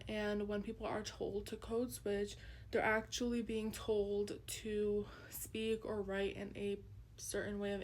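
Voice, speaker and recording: A woman's voice: mid-range voice, a little mellow, slight background noise. A young woman whose first language is Urdu, earnestly presenting a class project with frequent ums. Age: young adult. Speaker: student presenter.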